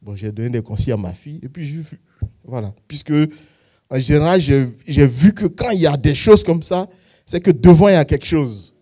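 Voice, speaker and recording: A man's voice: a little high, animated, a little fast, warm tone, breathy edge. Hesitant man testifying in church. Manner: hesitant, many fillers and restarts. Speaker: church member giving testimony. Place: church hall.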